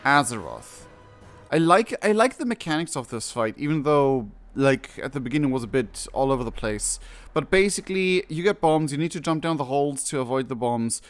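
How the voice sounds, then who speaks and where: A man's voice: medium pitch, lively intonation, normal speed, faint music behind. A man giving casual live reaction commentary on a game, rambling and often rewording himself. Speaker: streamer reacting to game cutscenes.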